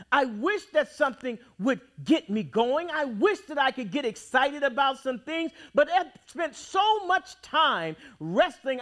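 A man preaching a sermon in a church, with dramatic swings between loud outbursts and quiet, drawn-out phrases. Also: very high voice. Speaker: pastor. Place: church sanctuary.

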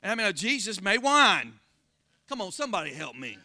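A pastor with a Southern Florida accent preaching a sermon, folksy and calling out to the congregation for responses. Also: male voice, high voice, very animated, normal speed, sharp tone, no echo, clean studio sound. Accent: Floridian Southern American. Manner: call-and-response, folksy, rising to emphatic exclamations.

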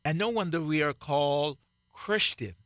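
A man with a Jamaican accent, preaching on a radio broadcast in a slow, emphatic way and repeating key phrases. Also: a little high, fairly bright tone, dry recording, studio quality. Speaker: pastor. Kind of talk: radio sermon, co-hosted Bible teaching.

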